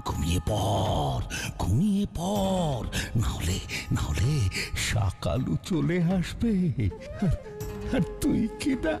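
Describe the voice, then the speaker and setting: A gravelly, gloating cartoon villain boss. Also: male voice, mid-range voice, huge pitch swings, slow, music in the background, exaggerated voice. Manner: theatrical, sneering, swinging between growls and shouts. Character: menacing male villain boss, boastful and cruel. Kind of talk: cartoon voice acting.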